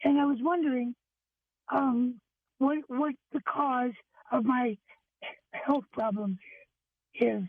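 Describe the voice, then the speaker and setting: Hoarse, high-voiced woman on the phone. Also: slow, long pauses, dry recording. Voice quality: husky, strained. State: hoarse, lost voice, short of breath. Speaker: first-time caller to a radio show. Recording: narrow phone line.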